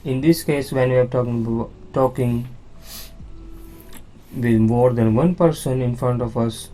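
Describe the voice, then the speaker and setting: A man at a mid-range pitch teaches beginners at a very slow, deliberate pace. He repeats key words, checks in with "okay?", and walks through each slide patiently. Faint music plays underneath. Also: mellow, rough recording. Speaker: language teacher. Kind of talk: slow beginner lesson explaining on-screen slides.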